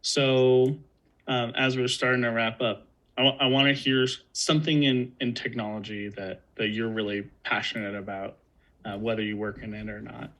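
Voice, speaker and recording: A man's voice: mid-range voice, flat delivery, normal speed, fairly bright tone, low-quality recording. A man hosting a podcast, putting casual questions to a guest. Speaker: podcast host.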